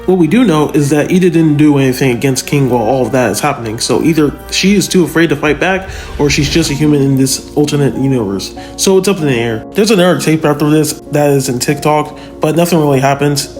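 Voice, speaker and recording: Male video commentator, voice a bit on the high side, informal and analytical, conversational direct address, prominent music bed. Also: fast, hardly any pauses, a little mellow. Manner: conversational, addresses viewers directly with rhetorical questions. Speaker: video narrator, fan power-scaling reviewer. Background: background music.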